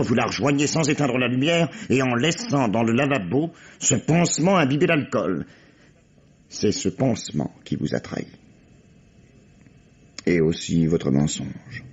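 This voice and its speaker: An actor playing a shrewd, world-weary police commissioner in a vintage French radio mystery, speaking formally and deliberately with irony and theatrical pauses. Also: male voice, mid-range voice, sing-song. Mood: grave, weary. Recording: dull, band-limited old radio recording.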